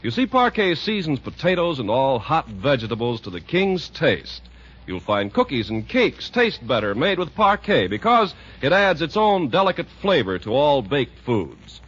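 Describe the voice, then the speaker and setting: A man's voice: very animated, moderate pace, fairly bright tone, husky. A man working as a 1940s radio announcer, reading scripted ads and narration with polished salesmanship. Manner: polished sales-pitch delivery. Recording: muffled 1940s radio recording.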